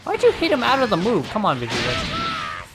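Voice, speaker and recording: A game streamer giving casual, playful commentary on play, with excitable outbursts. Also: medium pitch, moderate pace, faint music behind. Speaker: livestreamer. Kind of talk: casual gameplay commentary with shouted move names.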